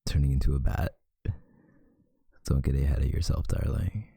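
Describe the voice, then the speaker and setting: A man playing a tender, protective vampire lover in an intimate ASMR roleplay, speaking in a husky, hushed voice with deliberate pauses. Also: no echo, clean studio sound, full character voice. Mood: gentle, explaining. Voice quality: very deep, gravelly, husky, breathy, often near a whisper.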